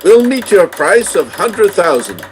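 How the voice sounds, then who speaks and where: Man as polite gentleman, high voice, slow measured delivery, old radio play, music behind. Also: very animated. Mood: businesslike. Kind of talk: old-time radio drama acting.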